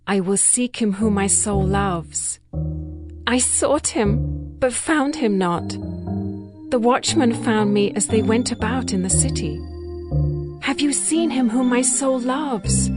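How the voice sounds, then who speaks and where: An actress playing a young, ardent bride in a dramatized scripture reading, speaking in a measured, lyrical and earnest way. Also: female voice, a little high. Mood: yearning. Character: young bride longing for her beloved, ardent and poetic.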